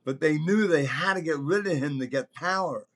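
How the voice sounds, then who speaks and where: An older man in his seventies with a slightly high-pitched voice tells stories in a casual podcast chat. He rambles from detail to detail, leans hard on his points and swears freely. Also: moderate pace. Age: older, around 70s. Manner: rambling, salty-tongued, emphatic. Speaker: podcast host telling stories as a former concert promoter. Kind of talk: casual anecdotal storytelling.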